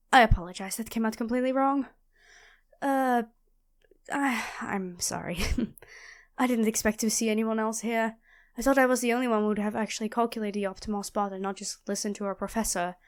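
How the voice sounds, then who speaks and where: A woman playing a nerdy, chatty young student in an audio roleplay, expressive and flustered, often apologizing and correcting herself. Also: on the high side, lightly acted. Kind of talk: audio roleplay acting addressed to the listener.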